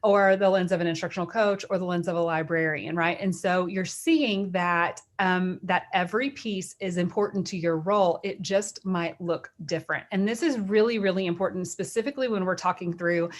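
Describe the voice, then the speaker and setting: Chatty Texan woman, lower voice. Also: normal speed, few pauses. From Texas. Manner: chatty, many fillers and restarts. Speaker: instructional leadership spokesperson. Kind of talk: webinar presentation.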